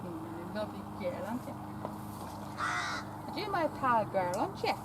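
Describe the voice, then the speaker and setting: A woman's voice: mid-range voice, very animated, normal speed. A woman chatting outdoors in a paddock, coaxing a mare in baby talk, often repeating phrases and stammering over words. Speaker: vlogger visiting a stud farm. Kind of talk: casual talk to a horse and companion. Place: outdoor paddock at a stud farm. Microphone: camera held by companion. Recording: outdoor wind and farm noise.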